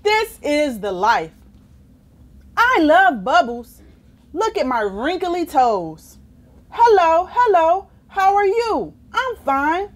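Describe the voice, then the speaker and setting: A woman's voice: extremely high pitch, sing-song, takes it slowly, voice pushed up, heavily acted. A woman voicing a stubborn, dramatic, cheeky storybook pigeon with exaggerated, whiny delivery. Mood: delighted, playful. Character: stubborn, dramatic, cheeky male pigeon who hates baths. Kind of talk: children's storybook character reading.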